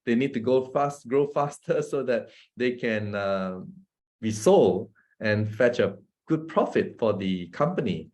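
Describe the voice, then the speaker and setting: A man's voice: mid-range voice, lively intonation, unhurried, a little mellow. A man, a doctor giving an informal health talk, chatty and constantly asking his listeners "right?" and chuckling between points. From Malaysia. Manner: chatty, often asks the audience "right?" and "guess what?".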